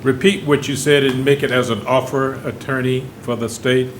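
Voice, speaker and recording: A man presides as judge at a courtroom bond hearing. He speaks at an ordinary pitch in a firm, procedural way and repeats his questions until he gets a clear answer. Faint background noise sits under his voice. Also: fairly even intonation, moderate pace.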